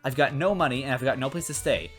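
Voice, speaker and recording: A man's voice: medium pitch, fast, fairly bright tone, voice pushed up, natural voice. Brash storybook princess, done by a male reader: young, pushy, casually blunt, full of slangy filler, over background music. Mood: pushy, breezy.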